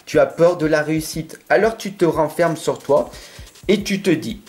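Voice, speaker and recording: A man's voice: on the high side, moderate pace, darkish tone, soft background music. A French-accented man giving a tarot card reading straight to the viewer, earnest and insistent, often checking in with "d'accord?". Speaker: tarot reader.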